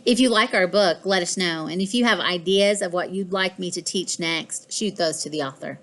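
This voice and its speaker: A woman voicing a boastful, fussy pet house cat in a children's storybook, with expressive, deliberate delivery. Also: lowish voice, lightly acted. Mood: friendly sign-off. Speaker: author reading own children's book. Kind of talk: scripted children's storybook narration.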